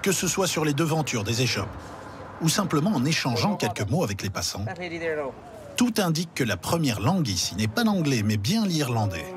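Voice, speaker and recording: Lively male news feature narrator. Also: mid-range voice, fairly quick, background noise. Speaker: reporter and narrator.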